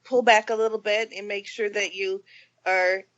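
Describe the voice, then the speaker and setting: A woman's voice: mid-range voice, steady tone, normal speed, fairly bright tone, lo-fi sound. A woman co-hosting a podcast in casual chat, her nose stuffy from a cold, talking in a chatty, self-deprecating way with laughs. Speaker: podcast co-host.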